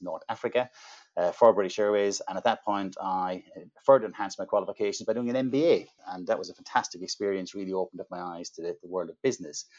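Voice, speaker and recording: Middle-aged Irish man, quick upbeat talker, deep voice. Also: a little mellow. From Ireland. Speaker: podcast guest. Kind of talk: interview answers. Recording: slightly dull lo-fi audio.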